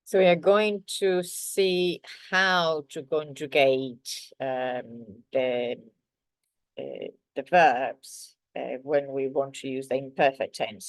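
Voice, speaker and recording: A woman's voice: sits low, no echo. A woman from Sardinia with an Italian accent, a native Italian speaker, teaching an online lesson in a hesitant way full of fillers. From Sardinia, Italy. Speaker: language teacher.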